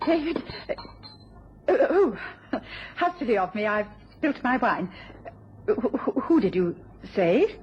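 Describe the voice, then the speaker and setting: High-voiced, gentle, anxious wife. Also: female voice, big pitch swings. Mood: flustered. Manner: polite, halting, breaking off when flustered. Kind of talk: radio drama acting. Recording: dull, noisy old radio recording.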